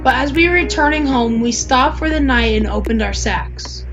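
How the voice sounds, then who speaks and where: A woman's voice: high-pitched, normal speed, fairly bright tone. A woman voicing earnest, pleading grown brothers in a Bible story, with insistent, emphatic delivery. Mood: anxious explaining. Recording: music behind the voice.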